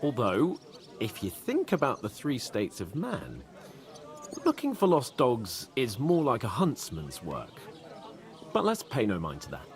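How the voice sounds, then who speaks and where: An actor playing a pompous, excitable young nobleman in a video game, theatrical and quick to indignation. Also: mid-range voice. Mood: gracious, condescending. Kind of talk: dramatic video game dialogue.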